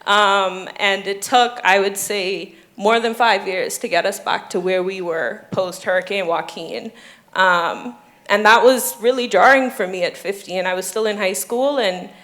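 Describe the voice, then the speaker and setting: An earnest young woman from the Bahamas talks through her ocean research on a panel, steady and explanatory. Her pitch sits in a middle range, and she stops often with a soft um. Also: unhurried. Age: 20s. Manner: earnest, explanatory, frequent ums. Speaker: doctoral student. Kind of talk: panel discussion.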